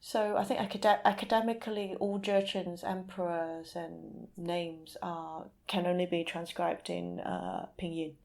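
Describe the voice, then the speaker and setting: A woman speaking as a podcast guest, giving thoughtful conversational answers in a halting way as she searches for words. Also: fairly low pitch, level tone, gravelly, a crowd in the background.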